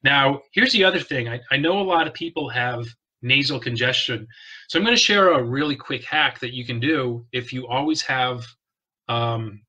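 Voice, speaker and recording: A man with a very bright, forceful tone talks straight to the camera about sleep and health. He sounds earnest and conversational, often repeating a word as he restarts a thought, and his voice sits at an ordinary pitch for a man. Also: poor audio. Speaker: wellness vlogger. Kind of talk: casual to-camera health talk.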